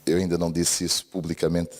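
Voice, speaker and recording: A man with a deep, low voice preaches in a church, his Brazilian accent mixed with a European Portuguese lilt. He speaks earnestly and keeps turning to the congregation for an amen. A long reverb from the church hall trails behind every phrase. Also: monotone, quick pace, a little mellow. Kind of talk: church sermon.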